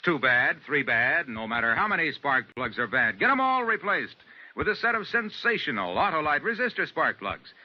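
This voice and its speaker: An American male announcer sells with punchy emphasis in an old radio ad style. His voice sits a little high and swings widely in pitch, on a muffled vintage recording. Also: very animated, moderate pace. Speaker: commercial announcer. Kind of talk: scripted 1950s radio ad pitch.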